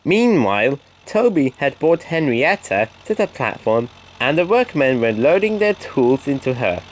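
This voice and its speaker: A man's voice: mid-range voice, big pitch swings, measured pace, rough recording. A man reading a scripted children's story as narrator.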